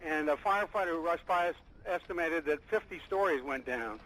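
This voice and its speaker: A man's voice: a little high, a little fast, fairly bright tone. A man giving a hesitant, descriptive eyewitness news report over the phone. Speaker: field reporter. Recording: noisy live phone report.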